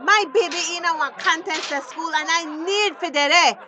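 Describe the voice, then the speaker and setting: A woman's voice: extremely high pitch, moderate pace, noisy background. An actress playing a desperate young mother, urgent and pleading, with a Belizean Creole accent in a radio drama. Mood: defiant, urgent.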